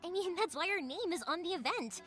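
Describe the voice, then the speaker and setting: A woman playing a cheerful, warmhearted young fireworks maker in a video game, chatty, bubbly and expressive. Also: very high voice, fairly quick. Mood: cheerful, inviting. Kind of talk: animated video game voice acting. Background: background music.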